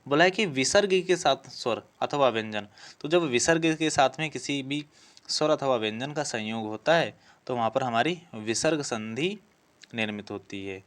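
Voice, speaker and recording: A man's voice: medium pitch, expressive, moderate pace, fairly bright tone. A man giving an exam-prep lesson as a teacher, reading out practice questions and explaining the answers as he goes. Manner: explanatory, asks questions then answers them. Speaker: Hindi grammar teacher for police exam prep.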